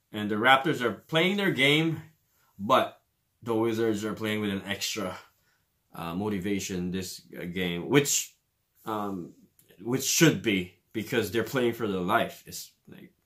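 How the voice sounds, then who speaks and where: A man with a Filipino accent giving casual commentary on a basketball game, filling pauses with "uh" and "man". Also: lowish voice, a bit bright. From Philippines. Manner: casual, many fillers, says "man" often. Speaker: fan commentator.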